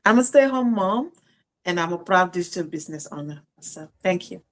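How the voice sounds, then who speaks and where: A woman from Senegal, a co-host, briskly reading out the day's topic and its points. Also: on the low side, lively intonation.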